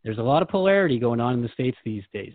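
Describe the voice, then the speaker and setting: A man's voice: mid-range voice, expressive, quick pace, no room sound, phone-quality sound. A man hosting a conversational podcast interview, speaking in an earnest, questioning and reflective way. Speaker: host interviewing a guide.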